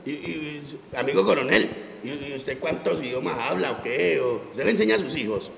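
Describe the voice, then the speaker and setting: A man's voice: a little high, lively intonation, moderate pace, a crowd in the background. A man voicing a cheeky elderly grandfather puppet who chuckles often and mixes English words into his Spanish. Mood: jovial. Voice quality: gravelly. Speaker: puppet co-presenter. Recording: echoey room.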